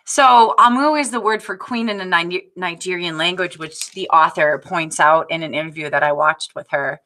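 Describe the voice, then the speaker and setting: A woman in her forties casually presenting a book on a video call, halting a little as she thinks aloud. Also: fairly low pitch, bright, forceful tone. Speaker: participant in a read-aloud discussion group. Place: indoor room, on a video call. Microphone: computer on a video call, close to the screen.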